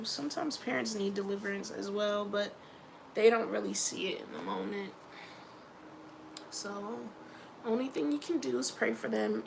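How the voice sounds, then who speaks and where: A young woman with a Philadelphia accent chats in a loose, rambling vlog. Her voice is bright and forceful, with a gravelly fry and a slightly high pitch. A faint hiss sits behind her. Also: low-quality recording. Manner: chatty, rambling, laughs often, faith talk. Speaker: vlogger. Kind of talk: casual personal vlog.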